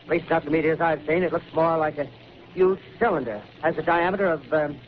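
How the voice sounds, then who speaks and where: An American man playing an excited radio reporter at a crash scene in 1930s radio drama style, with halting, stammering live description. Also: a little high, lightly acted. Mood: urgent curiosity. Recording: noisy, dull 1938 radio broadcast recording.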